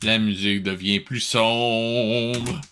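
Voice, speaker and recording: A man's voice: fairly low pitch, fairly even intonation, slow pace, music in the background. A man with a Québécois accent hosting a reaction show, giving casual, incredulous and colloquial running commentary.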